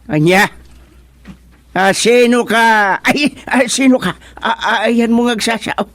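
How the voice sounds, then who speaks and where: A man with an Ilocano accent playing an excitable, bewildered country fellow in a radio comedy drama. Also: very high voice, slow, dry recording, full character voice. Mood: frightened. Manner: exclamatory, theatrical.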